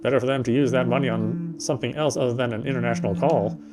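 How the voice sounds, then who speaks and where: A man's voice: medium pitch, quick pace. Male narrator for horror visual novel, dramatic storytelling, occasional stumbles, music underneath. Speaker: gameplay streamer reading a visual novel aloud. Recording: music behind the voice. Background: game music.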